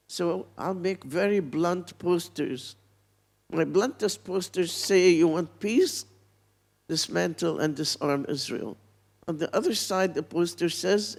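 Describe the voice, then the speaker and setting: A woman's voice: sits low, a little mellow. A woman in her eighties, from Palestine, speaking bluntly and reflectively as an artist on a panel. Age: 80s. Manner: blunt, reflective, unhurried, with pauses. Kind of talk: panel talk.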